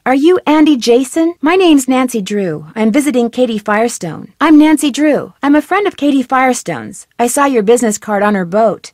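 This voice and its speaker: A woman's voice: fairly high pitch, a little mellow, no room sound, studio quality. An actress voicing a curious, persistent young female detective in a mystery game, asking direct, friendly questions. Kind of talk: game voice acting, studio lines. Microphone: close studio mic.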